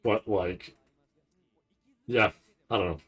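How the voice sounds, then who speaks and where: A man giving casual, rambling live commentary as a streamer, halting and restarting often as he thinks aloud. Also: fairly low pitch, fairly even intonation, fairly bright tone. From Canada. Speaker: streamer reacting to a live anime event.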